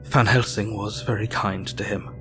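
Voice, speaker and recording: An actor playing a melancholy Victorian doctor who narrates his diary in an audio drama, sombre, measured and weary. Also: fairly low pitch, fairly even intonation, music in the background, close to an everyday voice. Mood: sombre, uneasy.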